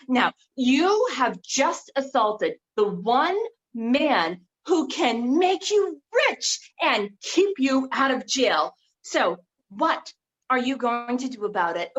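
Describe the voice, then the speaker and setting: Exasperated, condescending female schemer, played by a woman, high-pitched and measured, for comedy stage reading. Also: fairly bright tone, muffled recording, heavily acted. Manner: condescending, emphatic. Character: exasperated, scornful, scheming woman berating her dim partner.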